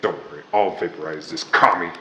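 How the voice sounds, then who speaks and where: A man playing a gruff, reluctant hero in a radio drama, with wisecracking tough-guy talk. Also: deep voice, a little fast, very noisy, lightly acted. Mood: urgent. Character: gruff reluctant hero, a baker and war veteran. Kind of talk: 1940s radio drama acting.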